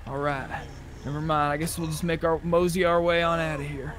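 Higher-pitched man in casual gaming chat. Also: flat delivery, a little fast, fairly bright tone. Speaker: player in a roleplay game session. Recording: clear vehicle and game noise behind. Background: game ambience and car engine.

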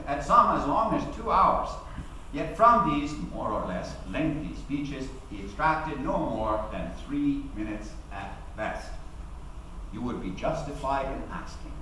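Actor as declamatory socialist orator in echoing hall. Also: male voice, a little high, pushed and bright. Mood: pointed, indignant. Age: middle-aged. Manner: declamatory, deliberate, rhetorical. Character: defiant, eloquent socialist defendant addressing a 1919 jury. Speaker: actor giving a dramatic reading. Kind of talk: theatrical courtroom oratory. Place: large auditorium, speaking at a podium. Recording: echoey hall, distant mic, noisy room.

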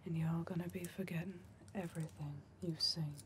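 A young woman playing a wary, bossy criminal who acts as hypnotist in a whispered ASMR roleplay, soft, slow and deliberate. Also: lowish voice, steady tone, background noise, close to an everyday voice. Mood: hypnotic, soothing. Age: young adult. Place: dim indoor room.

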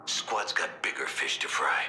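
An actor playing a gruff, hostile military officer in a video game, gravelly, menacing and scornful. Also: male voice, unhurried, bright, forceful tone, roomy sound, a little hiss or hum, low-quality recording. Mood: angry. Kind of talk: dramatic video game voice acting.

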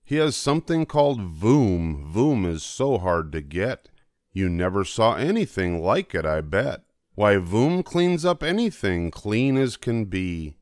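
A man's voice: on the low side, sing-song, unhurried, darkish tone, no room sound, clean studio sound. An older man voicing a big, confident, boastful cat in a children's storybook, emphatic and bossy. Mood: boastful, teasing. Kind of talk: children's storybook character reading.

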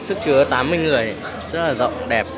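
A man's voice: medium pitch, fast, loud music behind the voice. A man giving a casual promotional walkthrough of a busy buffet restaurant, chatting to viewers as if they were family and filming on a handheld phone. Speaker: restaurant staff giving a tour. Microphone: handheld phone camera. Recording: phone video with loud background noise. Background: loud crowd and dining room noise.

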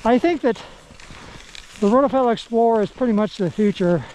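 A man with a fairly high, mellow voice talks casually as he shuffles along a snowy trail on cross-country skis. He rambles unhurriedly, restarting sentences as he goes, with faint outdoor hiss behind him. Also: normal speed, low-quality recording. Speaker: vlogger. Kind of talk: casual walk-and-talk.

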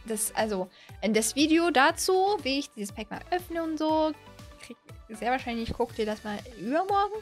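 A woman in her twenties with a high-pitched voice reacts casually to a game as its video host. She gushes and circles back over her own phrases. She speaks close into a studio microphone, with faint music behind her. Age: 20s. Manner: gushing, repeats words and phrases. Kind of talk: excited casual reaction commentary to camera. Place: small indoor streaming room. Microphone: close studio microphone on a boom arm.

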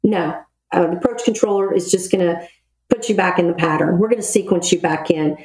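A woman's voice: on the low side, fairly quick, darkish tone. A middle-aged woman explaining procedures in an interview, matter-of-fact, as a retired military officer would. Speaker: podcast guest, retired Navy air traffic control officer. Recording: roomy, echoey voice recording.

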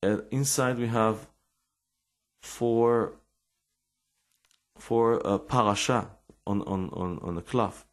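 A middle-aged Israeli man with an accent, telling his life story in halting English full of restarts and fillers. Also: fairly low pitch, steady tone. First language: Hebrew. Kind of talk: personal storytelling and explanation.

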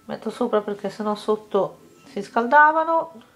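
A woman's voice: mid-range voice, expressive, moderate pace, a bit bright. A woman talking viewers through a home cooking recipe step by step in a casual, chatty way. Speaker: cooking vlogger. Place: home kitchen table, indoors.

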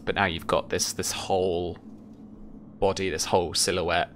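A man's voice: low-pitched, level tone, normal speed, a little hiss or hum. A young man running a tabletop game as game master, narrating and talking rules in a casual, hesitant way. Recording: music behind the voice.

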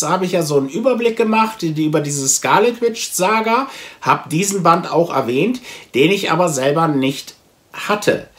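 A man, talking casually and conversationally to camera as a video host, explaining as he goes. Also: high-pitched. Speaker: comic blog video host. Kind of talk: casual haul vlog talk to camera. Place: small indoor room with shelves of comics.

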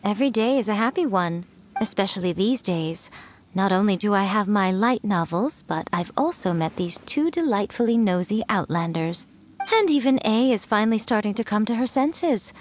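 A woman's voice: medium pitch, expressive, moderate pace, lightly acted. Woman playing a smug centuries-old fox spirit lady, teasing and amused, light hiss of noise. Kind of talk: video game voice acting.

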